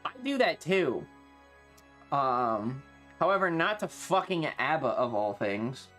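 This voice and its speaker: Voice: medium pitch, very animated, takes it slowly, a bit bright, soft background music. A streamer chatting casually and live, rambling and opinionated, swearing freely and complaining with playful exaggeration. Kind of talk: casual livestream chat.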